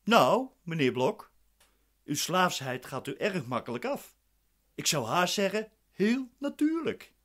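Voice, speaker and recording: Defiant male defendant, animated pitch. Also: measured pace, full character voice. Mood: coolly polite. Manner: formal, assertive. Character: dissatisfied, defiant male defendant, politely cutting. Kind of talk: radio play acting.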